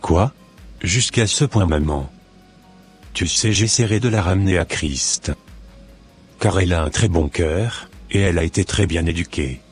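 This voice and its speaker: An earnest, devout young man in a cartoon drama voiced by a man, speaking in a low voice. He is a devoted son in love, and music plays behind his scripted lines. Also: measured pace. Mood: smitten, earnest.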